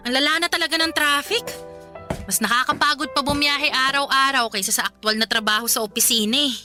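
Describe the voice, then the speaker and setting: High-voiced, put-upon Filipina daughter. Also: female voice, a little fast, pushed and bright, faint music behind. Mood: weary, grumbling. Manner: polite, plaintive, protesting. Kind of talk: radio drama acting.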